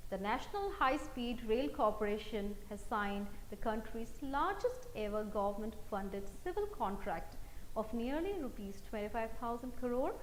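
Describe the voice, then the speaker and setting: A woman with an Indian accent anchoring a scripted news bulletin. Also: fairly high pitch. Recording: roomy echo, faint background noise.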